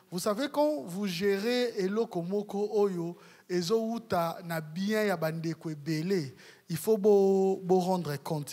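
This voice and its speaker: A man's voice: sits high, darkish tone. A man in his thirties at a press conference panel, holding a handheld mic and answering questions in a rambling way. His voice drops to murmurs, then rises, and he sometimes half-sings phrases. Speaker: project representative at a press conference. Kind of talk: answering questions, mixing French and Lingala. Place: hall with bookshelves, seated at a panel table.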